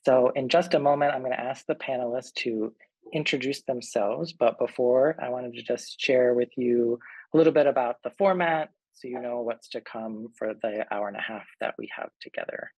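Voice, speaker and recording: Gravelly-voiced man moderating a video panel. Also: medium pitch, normal speed, a little mellow, no echo, poor audio. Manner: friendly, loose, with many fillers. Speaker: panel moderator, associate professor. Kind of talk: online panel hosting. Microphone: video call.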